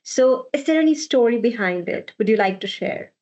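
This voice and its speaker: A woman's voice: a little high, lively intonation, moderate pace, darkish tone, lo-fi sound. A woman hosting a podcast interview, asking the guest curious questions. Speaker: podcast host.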